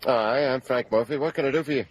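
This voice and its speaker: A man's voice: medium pitch, fast talker, slight background noise, lightly acted. A man playing a middle-aged working-class father in a radio drama, homely and colloquial, uneasy and deferential. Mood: polite, uneasy. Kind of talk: naturalistic radio drama acting.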